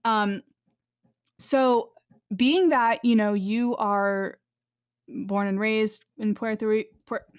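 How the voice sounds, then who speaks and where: A woman hosts a relaxed podcast conversation at a slow pace. She often restarts her sentences and fills pauses with ums. Her voice is mid-pitched and recorded close and dry. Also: clean tone, dry recording. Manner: unhurried, halting, many ums and restarts. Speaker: podcast host. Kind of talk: casual interview conversation.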